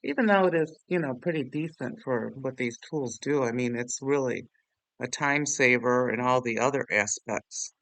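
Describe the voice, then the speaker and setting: A man hosting a software tutorial in a casual, rambling, self-deprecating way. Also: mid-range voice, normal speed, vocal fry, no room sound, poor audio. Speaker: tutorial host.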